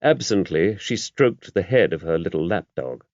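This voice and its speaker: A man's voice: low voice, animated, normal speed, a little mellow, no echo. A man reading a mystery novel aloud as scripted audiobook narration. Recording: dull, muffled lo-fi audio.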